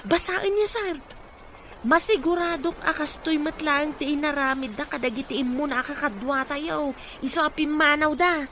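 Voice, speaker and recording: Very high, insistent Ilocano co-worker. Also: female voice, moderate pace, a bit bright, slight background noise. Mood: urgent. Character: concerned, insistent older-sister female co-worker at mansion. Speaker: radio drama actress. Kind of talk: radio drama acting in Ilocano.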